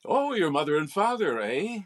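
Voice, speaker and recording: An actor playing a patient, talkative store clerk in an old-time radio comedy. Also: male voice, on the high side, very animated, normal speed. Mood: curious. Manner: explanatory, patient. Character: chatty, helpful male store clerk.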